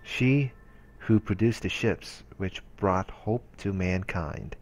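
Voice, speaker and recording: A man narrating a visual novel aloud in a measured storytelling manner. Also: deep voice. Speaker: let's-play narrator. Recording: voice-over with music behind. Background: game music.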